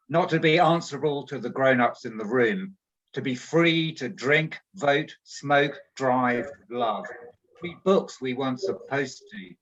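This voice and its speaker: A man's voice: medium pitch, a bit bright. A man from Britain with a British accent, a courteous poet speaking informally to an online gathering. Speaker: poet, event participant. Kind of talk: informal introduction and poetry reading. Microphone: online group call. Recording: dull lo-fi online call.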